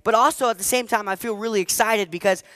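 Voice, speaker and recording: Teenage boy, motivational stage speaker, high voice, crisp and clean, dry sound, energetic and emphatic. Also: fast, no echo. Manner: energetic, emphatic, repeats key phrases. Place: stage before a live audience.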